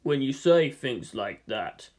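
A narrator voicing a wry male space marine, speaking slowly and hesitantly. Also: medium pitch, big pitch swings, a little mellow, voice pushed down. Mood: wry awe.

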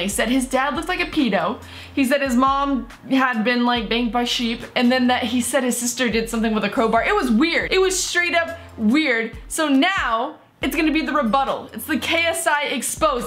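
A woman in her thirties reacting to a video from her home gaming room, animated and exclaiming, her voice slightly hoarse. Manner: animated, exclaiming, sponsor read in lower voice. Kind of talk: excited reaction commentary.

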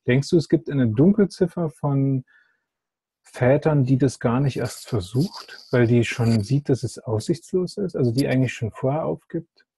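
A man's voice: medium pitch, moderate pace, dark tone, breathy edge, dry recording. A man in his thirties asking reflective questions as an interviewer on a video call from a plain room.

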